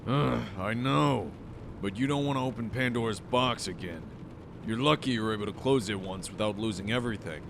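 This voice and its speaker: An actor playing a stern, blunt academy admissions officer in an audio drama, measured, dry and authoritative. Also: male voice, medium pitch, vocal fry, background noise, close to an everyday voice.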